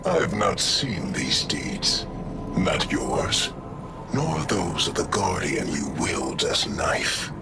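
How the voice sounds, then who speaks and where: A proud undead war god speaks in a very low, creaky voice over loud music. He is brooding and disdainful, measured and formal, with big swings in tone, as dramatic game dialogue. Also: sing-song, heavily acted. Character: proud, menacing undead alien war god, bound captive.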